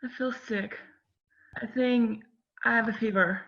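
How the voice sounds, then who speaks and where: A woman's voice: on the high side, steady tone, measured pace, gravelly, dull audio, pitched above the performer's own voice. A woman reading an evasive seventeen-year-old girl in an amateur script read. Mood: evasive, whiny.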